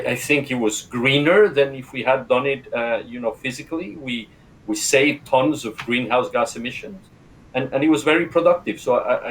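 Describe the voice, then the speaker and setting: A man's voice: mid-range voice, rough recording. A man from Chile giving conversational panel remarks, hesitant and often repeating words as he goes. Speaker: transport director, conference co-host. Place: video call.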